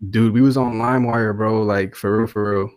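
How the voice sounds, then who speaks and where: A man's voice: lowish voice, steady tone, normal speed, a little mellow, smooth, dry recording, clean studio sound. A man in his twenties telling stories casually as a podcast guest, in very slangy talk. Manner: slangy, says bro and dude often. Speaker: podcast guest, rapper and music producer. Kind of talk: casual chat storytelling.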